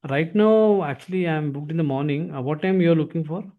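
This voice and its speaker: A man's voice: on the high side, brisk, narrow, tinny audio. A man with an Indian accent, a tutor chatting casually and persuasively with a prospective student, often repeating himself. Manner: repetitive, often tags sentences with "actually". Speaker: SAP course tutor.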